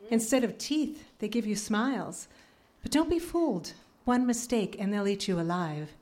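A woman playing a pragmatic, wry, no-nonsense office manager in a live radio drama, deadpan and instructive. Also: medium pitch, moderate pace, a little hiss or hum, close to an everyday voice. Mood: stern warning. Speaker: actor.

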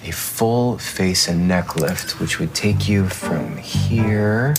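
Cosmetic surgeon in a series: persuasive, deliberate delivery, very slow pace, music bed. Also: male voice, low-pitched, creaky, full character voice. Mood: smooth, assured. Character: smooth, assured cosmetic surgeon making a consultation pitch. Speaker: actor in a TV series clip. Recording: TV drama clip with music underneath.

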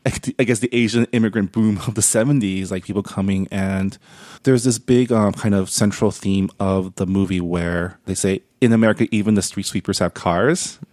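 A man's voice: lowish voice, fairly even intonation, normal speed, darkish tone, no room sound. Casual man saying "like" a lot, dry sound. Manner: casual, heavy use of "like". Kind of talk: casual conversation.

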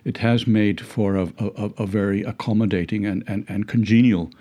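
A man's voice: on the low side, steady tone, normal speed, dark tone. A middle-aged man with a Dutch accent, a professor talking reflectively in an interview, hesitant with many fillers and restarts and wry chuckles. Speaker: legal philosophy professor.

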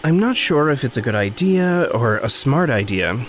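An actor playing an earnest, anxious, conscientious man in an audio drama, speaking haltingly and trailing off. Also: mid-range voice, very animated, slight background noise, close to an everyday voice. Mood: troubled, earnest. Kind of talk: naturalistic audio drama acting.

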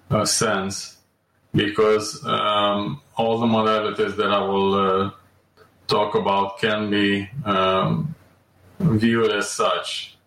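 A man from Romania, a podcast guest speaking slowly with frequent ums and uhs. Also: lowish voice, a bit bright. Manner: measured and slow, many ums and uhs. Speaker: guest, alternative medicine practitioner. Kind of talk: podcast interview. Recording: echoey room, distant mic.

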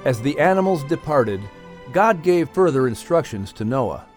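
A man's voice: on the high side, sing-song, normal speed, a little mellow, faint music behind. A man hosting and narrating a scripted story for a family audiobook show. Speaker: host and narrator.

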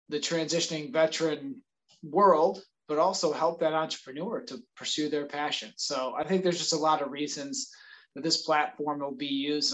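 A man's voice: fairly high pitch, low-quality recording. A man recording the earnest, slightly sheepish introduction to his podcast's first episode. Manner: earnest, sheepish, trailing off quieter. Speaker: podcast host.

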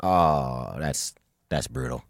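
A young man with a very low voice in casual, laid-back chat among friends, laughing often. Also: animated, slow pace, creaky, no echo, clean studio sound. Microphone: studio mic.

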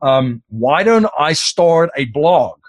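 A man's voice: fairly high pitch, sing-song, slow, dry recording. A middle-aged man, an Afrikaans speaker, talking casually in an interview, rambling with many fillers and restarts. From South Africa. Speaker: podcast host as interview guest.

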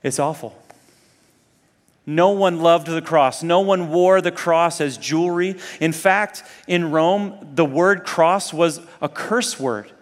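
A man's voice: fairly high pitch, slow pace. A man preaching a sermon to students in a conversational, earnest way, often putting rhetorical questions to his listeners. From Zambia and Kenya. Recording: long hall reverb.

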